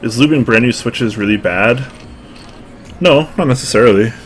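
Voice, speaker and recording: A man's voice: mid-range voice, normal speed, slight background noise. A young man casually chatting to his stream viewers at his home desk while he builds a keyboard, rambling and poking fun at himself. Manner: relaxed, rambling, self-deprecating, answers chat. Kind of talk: casual live-stream chat. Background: music playing.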